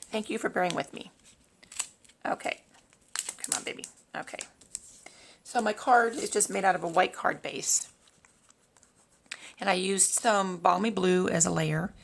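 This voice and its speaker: A woman hosting a casual craft tutorial in a raspy, hoarse voice, talking informally and a little haltingly as she works. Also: lowish voice. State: hoarse, throat-clearing from long talking. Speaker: host of a papercraft tutorial. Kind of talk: casual craft demonstration, talking while working.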